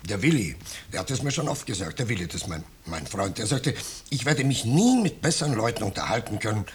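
A wheedling, swooping, low-voiced vagrant. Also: male voice, sing-song, fast talker. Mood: self-pitying. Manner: ingratiating, mock-humble, plaintive. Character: wheedling, self-pitying male vagrant, falsely humble houseguest. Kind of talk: 1950s German radio drama acting. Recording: noisy, clicking 1950s radio recording.

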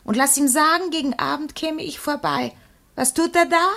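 Creaky, lamenting lovesick old woman. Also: high-pitched, moderate pace, a bit bright. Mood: aggrieved. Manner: theatrical, lamenting, indignant. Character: vain, lovesick old woman, jilted by her young lover. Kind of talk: classical comedy radio play acting.

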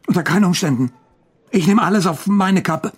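An actor playing an aggrieved junior policeman with a husky voice, evasive and stubborn, in a radio drama. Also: high-pitched, a little fast. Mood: defensive. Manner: defensive, stubborn.

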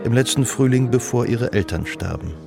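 A man narrating a literary radio drama in a scripted, measured storytelling style, with a gravelly voice. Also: mid-range voice, lively intonation, music in the background.

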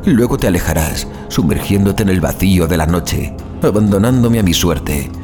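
A low male voice of an actor plays a doomed car passenger in an audio horror monologue. His delivery swings widely in pitch, anguished and morbidly fatalistic, broken by sighs and dramatic pauses, with clear music behind him. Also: lively intonation. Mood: fearful, morbid fascination. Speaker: narrator of audio fiction.

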